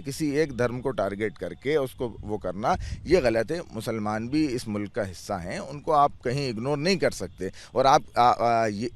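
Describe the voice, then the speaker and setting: A man chairing a clerics' board answers interview questions earnestly, restarting words and repeating phrases. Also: medium pitch.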